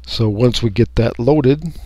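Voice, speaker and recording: A man talking through a software tutorial step by step in an unscripted, folksy way. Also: mid-range voice, measured pace, dull audio, very noisy. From United States. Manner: unscripted, folksy, explaining each click. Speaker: radio hobbyist giving a tutorial. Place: indoors, voice-over for a screen recording.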